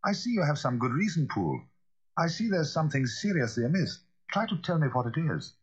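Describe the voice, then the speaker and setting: A man voicing a proper, reasonable gentleman lawyer in an audiobook, formal and questioning with an air of authority. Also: on the high side, big pitch swings, a little fast, breathy edge, pitched above the performer's own voice. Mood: coaxing, concerned. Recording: slightly dull, lo-fi audio.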